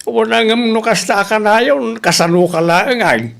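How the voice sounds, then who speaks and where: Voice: medium pitch, measured pace, gravelly. A performer playing a concerned, exasperated old father who nags and scolds in a radio drama. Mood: caring, nagging.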